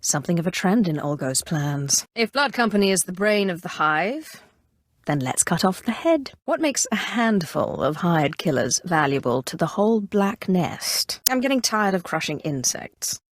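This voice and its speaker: An imperious female Sith inquisitor from a video game, speaks in a fairly low register. She is cool, calculating and haughty, giving formal, measured lines like someone used to command. The sound is very dry, with no room on it. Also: very animated, no room sound. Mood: cool, confident. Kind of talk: scripted video game character dialogue.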